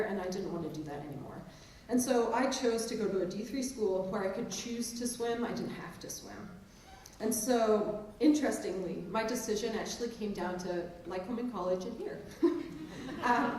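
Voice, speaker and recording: A woman's voice: mid-range voice, darkish tone, slight background noise. A woman in her forties with a mixed English and New Jersey accent and a touch of Maryland Eastern Shore. She is a professor giving a conversational, self-deprecating keynote speech. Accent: mixed English and New Jersey, with some Maryland Eastern Shore. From England, raised in New Jersey. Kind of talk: speech to an audience. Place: large auditorium stage. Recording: very echoey hall, distant mic.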